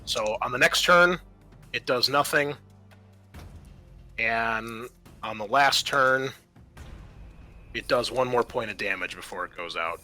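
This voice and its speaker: A man's voice: mid-range voice, slow pace, long pauses, pushed and bright, faint music behind. A man acting as game master, narrating and explaining the rules of a tabletop horror roleplaying game in a conversational way.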